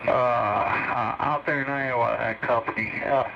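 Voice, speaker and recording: A man comes through on a muffled CB radio with clear noise on the signal. He talks slowly in a halting, folksy ramble, sharing casual tips as a hobbyist. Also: mid-range voice, steady tone. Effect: heard over CB radio. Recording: dull, noisy CB radio transmission.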